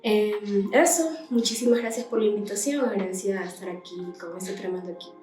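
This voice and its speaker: A woman's voice: mid-range voice, fairly quick, darkish tone. A woman, a guest lawyer introducing herself thoughtfully with fillers. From Guayaquil, Ecuador. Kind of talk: self-introduction. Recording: echoey room, distant mic, faint music.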